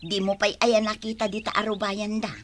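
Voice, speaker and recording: A woman's voice: medium pitch, little pitch movement, fairly quick, close to an everyday voice. An actress with an Ilocano accent playing a gossipy, needling village woman in a radio drama, drawing out her words. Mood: gossipy, impressed. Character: gossipy, needling village woman fond of news about neighbours. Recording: noisy background with small clicks.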